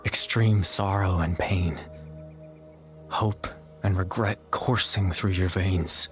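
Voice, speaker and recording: A sad resigned video game soul, kinda low voice, calm formal explaining, faint music under it. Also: male voice, slow pace. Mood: somber, resigned. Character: melancholy male being resigned to his sacrifice, calmly explanatory. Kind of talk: dramatic video game voice acting.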